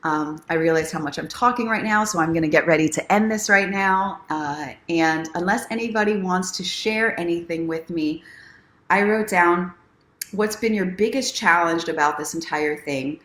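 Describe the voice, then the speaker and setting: A woman's voice: on the low side, moderate pace, fairly bright tone. A woman hosting a casual livestream, encouraging and speaking straight to her viewers like a self-help coach. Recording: roomy, echoing sound.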